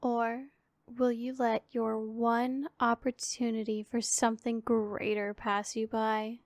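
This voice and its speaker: A woman voicing a powerful female demon in an ASMR roleplay, imperious and teasing with a secretly caring streak, speaking in formal, deliberate phrases. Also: on the high side, little pitch movement. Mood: mischievous, threatening.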